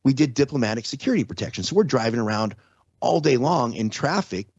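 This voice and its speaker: A man's voice: medium pitch, lively intonation, fast talker, a little mellow, slightly rough, no room sound, dull audio. A man talking casually as an interview guest, explaining things with repeated, restarted phrases and frequent rhetorical check-ins. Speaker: podcast guest, trauma survivor and former security contractor.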